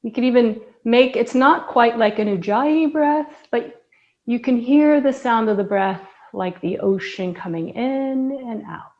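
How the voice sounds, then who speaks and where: A woman teaching yoga, softly and soothingly guiding a breathing meditation with long pauses. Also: on the high side, muffled recording. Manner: slow, soft, soothing guidance with long pauses.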